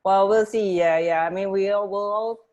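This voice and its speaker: Halting female radio co-host, mid-pitched. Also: fairly even intonation, a little mellow, dull audio. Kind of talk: casual chat.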